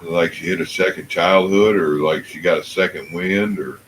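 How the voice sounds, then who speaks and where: Deep-voiced folksy man, noisy recording. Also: moderate pace, fairly bright tone. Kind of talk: casual chat.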